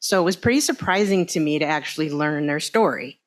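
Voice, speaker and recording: A woman's voice: low-pitched, lively intonation, a little fast. A woman in her sixties giving an informal personal talk to a congregation in a conversational, discursive way. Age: 60s. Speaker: congregation speaker.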